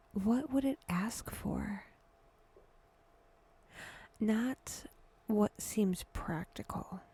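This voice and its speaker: A woman guiding a meditation in a hushed voice that drifts toward a whisper, speaking deliberately in short phrases with long pauses. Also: medium pitch, creaky, husky. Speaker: guided meditation host. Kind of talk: slow, breathy guided meditation narration.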